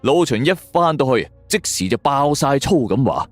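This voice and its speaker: A man's voice: mid-range voice, very animated, normal speed, dry recording. A man with a Hong Kong Cantonese accent narrating a detective story dramatically in the first person. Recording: background music under voice. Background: music.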